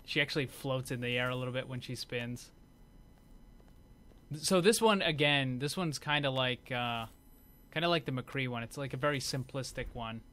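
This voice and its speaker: A man's voice: medium pitch, normal speed, bright, forceful tone. A man giving casual, unscripted commentary as host of a gaming video. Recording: clear background noise.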